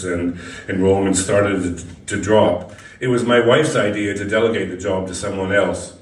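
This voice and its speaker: A man reading a first-person short story aloud as scripted narration, now and then stumbling over a word. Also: lowish voice. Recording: echoey room, distant mic, background noise.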